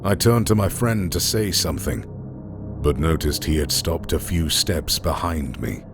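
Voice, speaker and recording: Very deep gravelly man narrating horror. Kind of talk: scripted horror storytelling narration. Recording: music under the voice. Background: background music.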